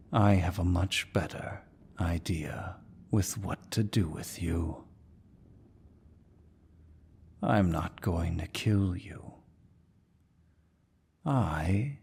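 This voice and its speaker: A man playing a menacing demon lord in an ASMR fantasy roleplay, with a deep, gravelly voice and a dominant, smug, taunting manner. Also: lively intonation, darkish tone, heavily acted. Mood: intrigued, menacing. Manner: dominant, smug, slow and deliberate.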